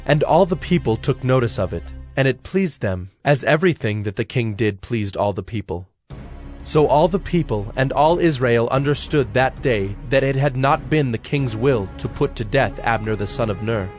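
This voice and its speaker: A man's voice: mid-range voice, animated, a little mellow. Formal male scripture narrator over music. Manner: measured, formal.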